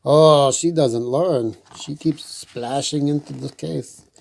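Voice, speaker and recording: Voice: medium pitch, takes it slowly, gravelly, darkish tone, rough recording. A children's storytime host reading a picture book aloud expressively, with playful asides to the listeners.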